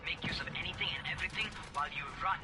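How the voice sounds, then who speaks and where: Game role, male actor: cocky young survivor coach, higher-pitched, teasing, barking orders, music behind. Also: steady tone, very fast, far from the mic, natural voice. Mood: instructing, impressed. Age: young adult. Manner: brash, slangy, barking instructions. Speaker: parkour trainer character in a video game. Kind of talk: video game dialogue acting.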